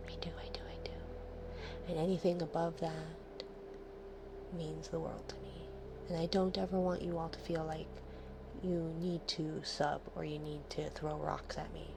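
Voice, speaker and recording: A woman with a nasal, lowish voice chats with her stream audience close to the mic, now and then dropping to a soft breathy whisper. A steady hiss sits under the recording. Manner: soft, drifting into breathy whispers between chatty asides. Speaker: livestream host. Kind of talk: casual late-night stream chat with soft whispering. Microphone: streaming microphone close up.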